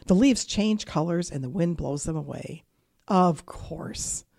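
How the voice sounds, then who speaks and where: A fussy, worried storybook squirrel, read aloud by a woman mutters to itself. The voice is a little low. The sound is close and dry. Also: unhurried, creaky, a little mellow, no room sound. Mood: relieved.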